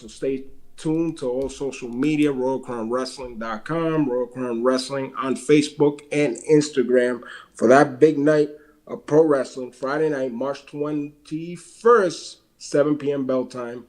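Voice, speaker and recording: A man with a Puerto Rican accent co-hosts a wrestling podcast in easy, casual talk. His voice sits at a middle pitch for a man. He rattles off event dates and ticket sites, with plenty of filler words along the way. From Puerto Rico. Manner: plugs events with dates and web addresses, hesitant fillers. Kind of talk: casual promotional chat.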